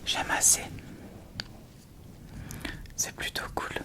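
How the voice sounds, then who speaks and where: A woman speaks in a soft, breathy whisper, casually chatting and thinking aloud through an ASMR tutorial close to the mic. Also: drawn-out words. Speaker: ASMR video host.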